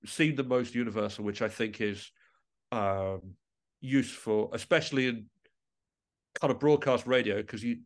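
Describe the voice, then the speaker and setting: A man speaking as a musician guest in a reflective interview, halting and often rephrasing mid-sentence. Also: mid-range voice.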